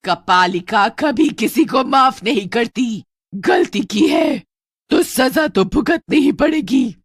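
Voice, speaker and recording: A hungry village witch from a horror storybook, menacing and gloating. She pitches high and loud, stretching out her threats between cackles, in a dry close recording. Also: animated, slow pace, husky, no echo, exaggerated voice. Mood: merciless.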